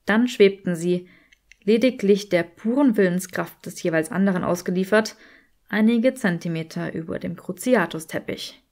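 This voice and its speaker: A woman reading a story as an audiobook narrator, in an expressive scripted style. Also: medium pitch, moderate pace.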